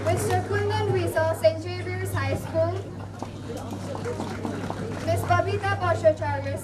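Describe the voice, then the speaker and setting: A high-pitched young woman speaks as event host in formal, declamatory style. Her voice comes from far off in an echoey hall, with a crowd behind her. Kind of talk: formal scripted welcome speech on stage. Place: school stage in a hall, audience behind. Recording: echoey hall, distant mic, crowd noise.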